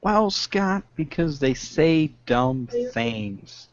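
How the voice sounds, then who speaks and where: A man's voice: fairly high pitch, expressive, dry recording. Young man co-hosting a webcam podcast. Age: young adult. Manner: joking, laughs often, drawn-out delivery. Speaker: podcast co-host. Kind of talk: casual political chat. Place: home room, video call. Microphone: webcam mic on video call. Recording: dull lo-fi video-call audio.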